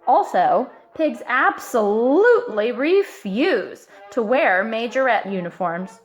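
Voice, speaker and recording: A woman reading a children's picture book aloud in an expressive, emphatic way, stretching out key words. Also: a little high, slow pace, soft background music. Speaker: storybook reader.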